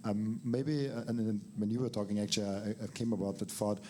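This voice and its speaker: Male moderator, low monotone, stammering repetitions. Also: brisk. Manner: stammering, repeats words. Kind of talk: panel discussion. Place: conference panel stage.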